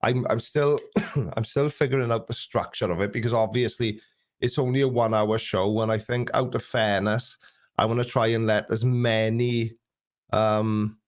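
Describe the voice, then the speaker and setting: A man's voice: fairly low pitch, fairly even intonation, moderate pace. A man in his late forties hosting a live stream, chatting loosely and joking at his own expense as he reads viewer comments, with an occasional cough. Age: 40s. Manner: chatty, jokey, self-deprecating, reads viewer comments aloud. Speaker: YouTube host. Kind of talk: live stream chat reading.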